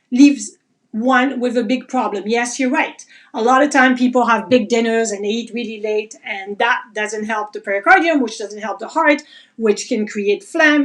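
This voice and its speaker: A woman teaching Chinese medicine in a live online class, talking casually and animatedly and reading viewer comments aloud. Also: high-pitched. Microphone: livestream.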